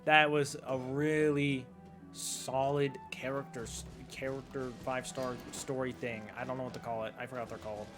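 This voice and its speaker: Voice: mid-range voice, little pitch movement, moderate pace, music in the background. A streamer of ambiguous-sounding voice, chatting casually in reaction to game dialogue.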